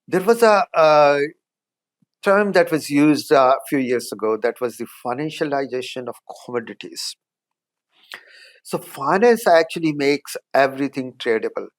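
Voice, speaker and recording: A middle-aged man with an Indian accent speaks as a professor in an interview. He explains at a measured pace, stopping often with small hesitations. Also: fairly high pitch, lively intonation. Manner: measured, explanatory, frequent "uh" pauses. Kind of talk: interview answers.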